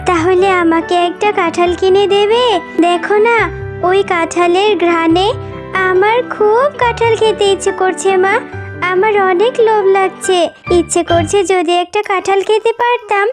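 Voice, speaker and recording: An eager little fox girl from a cartoon. She speaks extremely high and childlike, coaxing and wheedling as she goes. Music runs behind her. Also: moderate pace. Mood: pleading. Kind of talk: cartoon voice acting.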